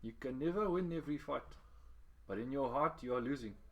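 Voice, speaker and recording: A man voicing a gentle, wise older fisherman with a South African Cape accent, speaking kindly and earnestly. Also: medium pitch, fairly quick, pitched above the performer's own voice, close to an everyday voice.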